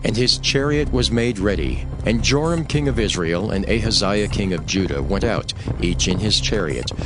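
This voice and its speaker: A man narrating a dramatized scripture reading in a solemn, measured storytelling manner. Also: fairly low pitch.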